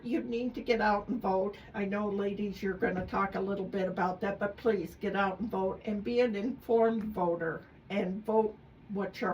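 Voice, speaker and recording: A woman's voice: medium pitch, far from the mic, rough recording. A woman hosting a local radio interview in a studio, chatty and upbeat. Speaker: radio host. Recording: faint noise, off-mic in studio.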